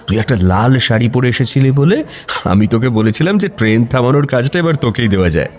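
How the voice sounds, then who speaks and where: An actor playing a playful, pleading young husband in a radio romance, teasing and insistent in a conversational way. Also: male voice, medium pitch, lively intonation, fast talker, natural voice. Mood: nostalgic, animated. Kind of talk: Bengali radio romance acting. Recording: music bed under the voice.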